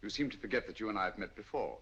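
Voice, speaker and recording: A shrewd, courteous detective. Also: male voice, on the low side, a bit bright, husky. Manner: measured, courteous, dryly confident. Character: shrewd, calm male detective, middle-aged. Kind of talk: 1940s radio acting. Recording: dull old radio audio.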